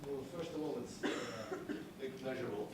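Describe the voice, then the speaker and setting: A man's voice: mid-range voice, brisk, crowd noise. A man starting a conference talk, polite and hesitant, with frequent fillers. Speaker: panel speaker. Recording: distant mic in echoey hall, loud noise.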